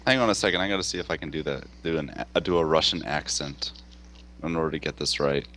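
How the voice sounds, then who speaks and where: A man's voice: very deep voice, fast, bright, forceful tone, slight background noise. A man playing a tabletop game, joking and bantering playfully. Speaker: player. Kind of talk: casual tabletop game play.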